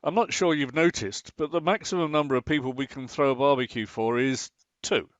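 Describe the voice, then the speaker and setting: An actor playing a defensive, pedantic middle-aged husband in an amateur radio play, who stammers when caught out. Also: male voice, mid-range voice, fairly quick, no room sound, lightly acted. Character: Martin, a bored middle-aged husband obsessed with online tank games. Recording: slightly muffled, lo-fi.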